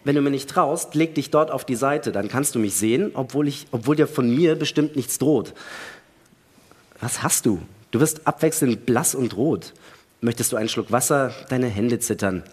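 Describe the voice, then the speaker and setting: A man acting a wary, sarcastic astronaut in a dramatic radio play, argumentative and pointed, with a husky voice. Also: medium pitch, moderate pace, close to an everyday voice. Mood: wary, placating. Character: wary, sarcastic male astronaut arguing with his crewmate. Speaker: actor in a radio play reading. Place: large hall, live staged reading. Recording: reverberant stage hall sound.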